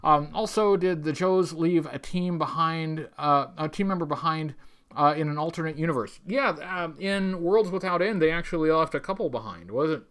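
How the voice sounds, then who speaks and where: A man hosting a casual livestream, talking in a rambling, halting, stop-start way with a wry, tongue-in-cheek manner as he chats with viewers. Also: on the high side, a bit bright. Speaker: livestream host. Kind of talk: casual livestream chat reading viewer messages.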